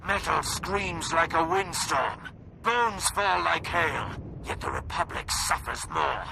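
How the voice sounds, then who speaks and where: An actor playing a grim, theatrical war commander in a video game, with portentous, ornate delivery. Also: male voice, fairly high pitch, big pitch swings, slow pace, creaky, bright, forceful tone, slight background noise, heavily acted, rough recording. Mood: grandiose. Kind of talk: cinematic video game acting.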